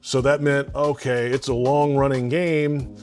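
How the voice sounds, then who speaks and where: A play-by-play radio announcer from Alabama answering interview questions casually, rambling with many fillers and restarts. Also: male voice, medium pitch, flat delivery, a little slow, a little mellow, soft background music.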